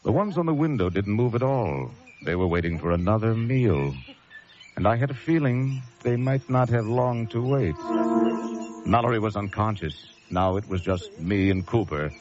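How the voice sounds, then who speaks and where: A man's voice: fairly low pitch, animated, moderate pace, close to an everyday voice. An actor playing a tense, desperate lighthouse keeper who narrates his ordeal in dramatic, urgent old-time radio thriller style. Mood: exhausted dread. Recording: dull vintage radio recording, loud music.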